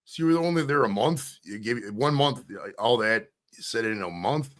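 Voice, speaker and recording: A man's voice: mid-range voice, lively intonation, a little fast. A man hosting a live podcast interview, talking loosely and profanely in a rambling, casual way. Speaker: podcast host.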